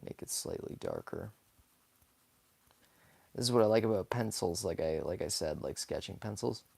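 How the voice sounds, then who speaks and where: A hoarse young man talks casually while sketching live, rambling softly with many fillers and small laughs. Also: low-pitched, huge pitch swings, normal speed, no room sound. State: hoarse, scratchy from talking too much. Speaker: live-streaming sketch artist. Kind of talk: casual commentary while drawing.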